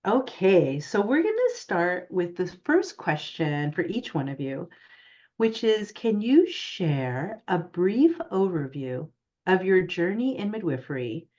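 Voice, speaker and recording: A woman's voice: lowish voice, warm tone, lo-fi sound. A woman moderating an online panel in an informal, chatty, explaining way over a video call. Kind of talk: casual hosting of an online panel.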